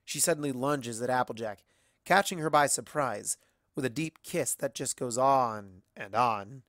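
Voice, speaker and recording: A person reading a story aloud as scripted narration, dropping softer on the narration tags. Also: mid-range voice, unhurried, dry recording, studio quality. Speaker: amateur audiobook reader. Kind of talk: scripted narration of fan fiction.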